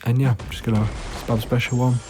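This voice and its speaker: A man with a hoarse, fading voice, giving tired, laid-back gameplay commentary full of ums. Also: mid-range voice, little pitch movement, fairly quick, music in the background. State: hoarse, losing his voice; tired, yawning late at night. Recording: noisy recording with clicks.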